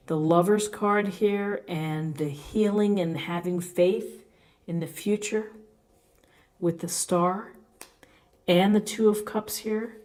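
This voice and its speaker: Slow, even-toned woman reading tarot. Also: deep voice. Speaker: tarot reader, host. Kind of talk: unscripted tarot reading, explaining cards.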